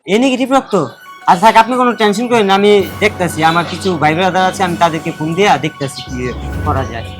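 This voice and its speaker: Music plays clearly behind a man acting an earnest young man in a short drama. His voice is high for a man, with a Bangladeshi Bengali accent. He talks in a colloquial, anxious and urgent way. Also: very fast, natural voice. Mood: concerned, reassuring. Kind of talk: amateur short drama dialogue. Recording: background music over dialogue.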